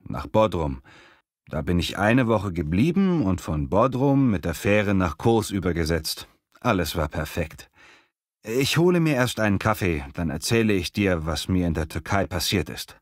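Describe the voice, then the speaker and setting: Guy playing easygoing traveler, deep voice, lively, clear lesson dialogue, dry recording. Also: moderate pace, no echo. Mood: recounting. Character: easygoing male traveler chatting about a holiday. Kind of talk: scripted language-lesson dialogue.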